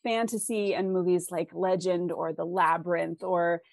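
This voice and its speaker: A woman's voice: lowish voice, flat delivery, normal speed, gravelly, no room sound. Woman interview guest, close dry sound. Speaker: podcast guest, fantasy author. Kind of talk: casual interview talk.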